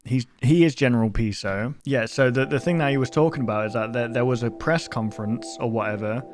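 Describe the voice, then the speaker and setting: A man's voice: mid-range voice, fairly quick. Man giving casual reaction commentary over music. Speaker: reaction video host.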